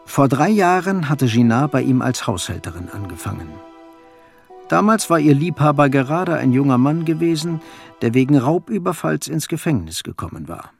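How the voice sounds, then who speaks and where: A man's voice: medium pitch, very animated, normal speed, gravelly, soft background music. A man narrating a radio play as a sober, detached storyteller in scripted literary prose. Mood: sober, measured.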